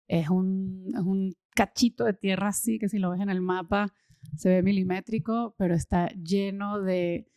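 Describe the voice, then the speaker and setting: A Venezuelan woman in her thirties, talking enthusiastically as an interview guest and often pausing with fillers and repeated words. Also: medium pitch, mellow, clear, resonant tone, no echo, studio quality. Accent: Venezuelan Spanish. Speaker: guest, social impact consultant. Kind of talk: casual interview conversation.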